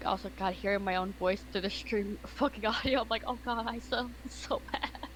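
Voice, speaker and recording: A woman's voice: mid-range voice, moderate pace, slight background noise. Chatty woman on a voice call. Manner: rambling, with fillers and laughs. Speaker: partner calling in on voice chat. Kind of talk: casual chat.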